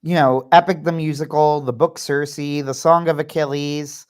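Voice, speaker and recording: A man's voice: a little high, steady tone, normal speed. A man hosting a livestream, chatting casually in an earnest, opinionated way with a wry edge. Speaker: livestream host.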